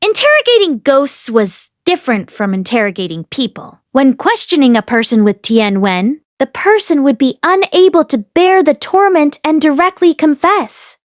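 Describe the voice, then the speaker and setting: Casting: female audiobook narrator, higher register, expressive read-aloud delivery, dry close recording. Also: normal speed, hardly any pauses, no echo.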